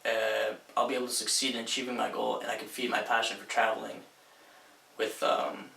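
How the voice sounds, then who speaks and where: A young man who grew up in Sweden gives a casual personal introduction as a student, with relaxed "like" and "um" fillers. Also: on the low side, a little fast, creaky. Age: young adult. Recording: echoey room, distant mic.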